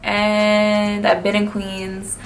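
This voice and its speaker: A woman's voice: medium pitch, monotone, drawn-out words, creaky, rough recording. A twenty-one-year-old woman from New City, answering viewer questions in a blunt, slangy, laid-back vlog. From New York City. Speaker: vlogger. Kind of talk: casual Q&A vlog.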